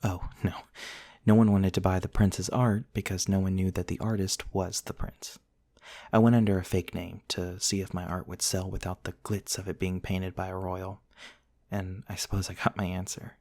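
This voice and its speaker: A man playing a gentle, eccentric vampire prince from the nineteenth century, softly telling his story in an intimate, genteel ASMR roleplay monologue. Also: low-pitched, level tone. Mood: wistful, reflective. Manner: soft-spoken, wistful, genteel storytelling with pauses.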